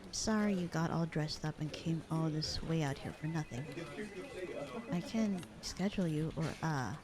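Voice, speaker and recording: A bored secretary acted by a woman speaks in a fairly low voice over a clear murmur of people. She is deadpan and sarcastic, with a slightly flirty edge and casual, slangy phrasing. It plays as a conversational roleplay audio drama. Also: moderate pace, crowd noise, close to an everyday voice. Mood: reluctantly helpful. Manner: deadpan, slangy, unbothered drawl.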